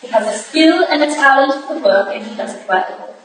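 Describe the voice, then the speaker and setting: Actress as sharp, high-voiced Victorian society wife. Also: female voice, lively intonation, a little fast. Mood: indignant, forceful. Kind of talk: staged reading of a period stage play. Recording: echoey hall, distant mic, hissy noise.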